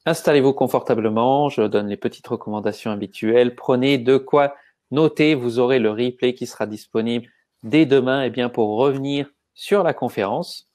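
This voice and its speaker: Measured man hosting a live webinar. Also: mid-range voice, darkish tone. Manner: welcoming, measured, gives instructions to viewers. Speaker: webinar host.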